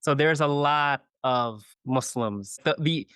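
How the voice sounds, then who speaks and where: A man's voice: a little high, takes it slowly, a bit bright, clear, resonant tone, no echo, studio quality. A man, a chaplain guest on an interview podcast, explaining his views thoughtfully and often checking in with "you know" and "right?". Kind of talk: conversational interview.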